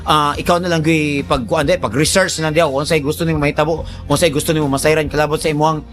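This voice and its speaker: A man's voice: fairly high pitch, steady tone, racing, a bit bright. A man hosting a radio advice programme, talking conversationally in an emphatic, repetitive, colloquial way. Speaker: radio host giving advice to listeners.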